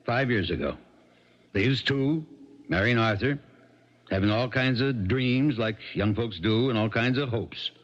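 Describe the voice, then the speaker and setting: An actor playing an earnest, folksy small-town sheriff with halting, stammering speech in a 1970s radio drama. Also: male voice, mid-range voice, natural voice. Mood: troubled, earnest. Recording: dull lo-fi 1970s radio recording.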